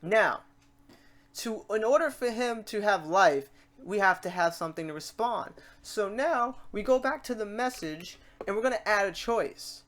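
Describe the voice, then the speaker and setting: A woman hosting a casual software tutorial, talking informally and reassuringly to the viewer in an animated way. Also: medium pitch, moderate pace. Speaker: tutorial host.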